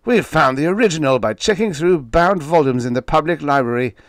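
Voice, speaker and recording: A man's voice: fairly high pitch, expressive, a little fast, no echo, clean studio sound, pitched above the performer's own voice, close to an everyday voice. A man voicing an angry male editor who mocks the caller. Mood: mocking. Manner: mocking, sneering.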